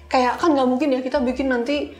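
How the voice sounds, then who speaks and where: High-voiced young Indonesian woman, chatty. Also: steady tone, fairly quick, a little mellow. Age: young adult. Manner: informal, mixes English terms into speech. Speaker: interview guest, software engineer. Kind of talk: casual conversational storytelling. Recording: echoey room.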